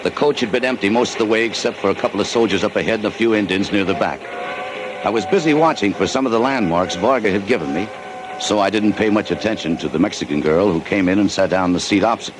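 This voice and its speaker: An actor playing a tough, wisecracking American adventurer who also narrates, in clipped hard-boiled 1940s radio drama style. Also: male voice, fairly low pitch, fast, natural voice. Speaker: narrator and lead. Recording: hissy 1940s radio broadcast.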